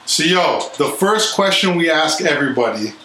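Upbeat male host, slightly high and forceful, roomy studio sound. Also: expressive. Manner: hyped, quick street-style intros. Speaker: podcast host. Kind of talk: casual podcast hosting. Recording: roomy studio with faint hiss.

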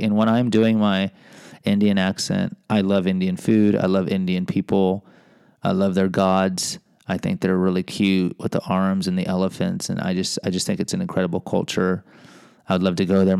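A man's voice: deep voice, creaky, a little mellow, dry recording, clean studio sound. A middle-aged American man hosting a podcast, reading a personal memoir with rambling, self-deprecating asides. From United States. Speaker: podcast host and teacher.

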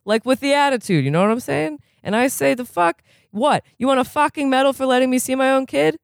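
A reader putting on a profane, rambling man in recovery who is quick to rage and keeps asking if you know what he's saying. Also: high-pitched, vocal fry, no room sound, clean studio sound, voice pushed up. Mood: heated. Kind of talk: reading a novel aloud, putting on a character.

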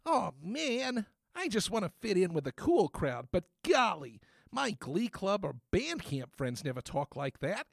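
A man's voice: high voice, sing-song, a little slow, gravelly, no echo, studio quality, heavily acted. A man playing an awkward, gee-whiz 1950s youth in a spoof radio commercial. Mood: bewildered, wistful. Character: awkward, square, eager-to-please 1950s male youth.

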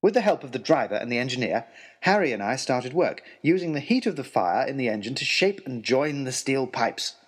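A man narrating a first-person adventure story in an expressive, theatrical way. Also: medium pitch, fairly quick, slightly rough.

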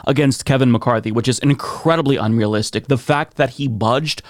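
A man's voice: medium pitch, normal speed, no echo. A man hosting an opinionated political commentary show, talking in a sarcastic, emphatic way into a close mic.

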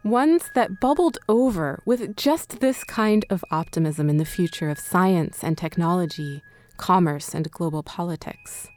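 A woman's voice: lowish voice, expressive, a little slow, a little mellow, soft background music. A woman hosting and narrating a scripted audio documentary. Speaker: podcast host and narrator.

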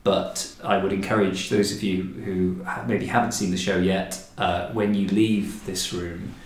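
A man hosting a podcast in a measured, articulate, deliberate and descriptive way. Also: deep voice, level tone. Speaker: podcast host, director of a historic house. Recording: roomy, echoing indoor sound.

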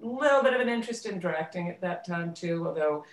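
A low-pitched American woman speaks as a guest in a casual interview. She recounts her own story reflectively, pausing to rephrase. The sound is roomy and echoey. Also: expressive. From United States, suburbs of Washington DC, then California. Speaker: interview guest, theater actress and director.

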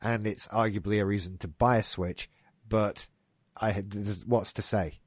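A man's voice: lowish voice, steady tone, moderate pace, slightly rough, dry recording. A man hosting a casual gaming news podcast, rambling and swearing lightly, a bit stuffed up. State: getting a stuffy nose. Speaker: podcast host. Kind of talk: casual chat.

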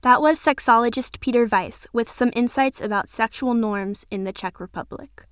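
A woman presenter reading scripted news links in a clear, informative way. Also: a little high, normal speed, no room sound, studio quality, faint music behind.

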